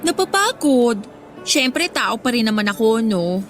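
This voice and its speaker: A woman's voice: fairly high pitch, big pitch swings, measured pace. A driven, pushy Filipino schoolgirl. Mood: coaxing. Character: ambitious, driven, pushy teenage student council president. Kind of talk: Filipino radio drama acting. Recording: music under the dialogue.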